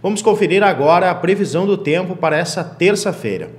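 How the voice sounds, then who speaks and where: A young man reading a local news bulletin in a steady, formal way in a small studio. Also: high voice, fast, faint music behind. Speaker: news anchor.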